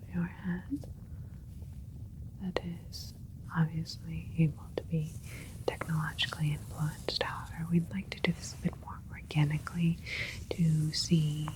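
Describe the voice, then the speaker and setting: A woman playing a calm, clinical alien scientist in a sci-fi ASMR roleplay, speaking in a soft, breathy whisper with a gentle, methodical manner. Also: low voice, measured pace, poor audio. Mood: calm, explanatory. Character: calm, clinical alien scientist doctor examining their creation.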